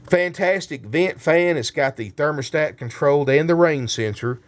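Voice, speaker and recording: A man's voice: fairly high pitch, normal speed. A man giving a folksy sales walkthrough of a motorhome while getting over a cold. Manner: folksy, keeps saying "folks". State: has a cold. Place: inside a motorhome.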